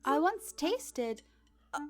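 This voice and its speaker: A woman's voice: high-pitched, big pitch swings, slow, over music, exaggerated voice. A woman playing a polite, curious young girl in an audio drama.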